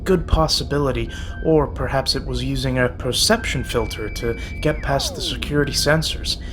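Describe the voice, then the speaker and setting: A man's voice: mid-range voice, moderate pace, over music, close to an everyday voice. A man playing a polite, earnest and deferential ship's crewman in an audio drama. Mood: apologetic, earnest. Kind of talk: scripted fan audio drama acting.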